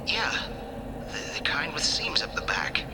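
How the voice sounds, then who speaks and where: A man playing a confused, uneasy crewman in a video game, hesitant and breathy. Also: on the high side, big pitch swings, measured pace, in a whisper. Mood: puzzled. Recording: loud music over the voice.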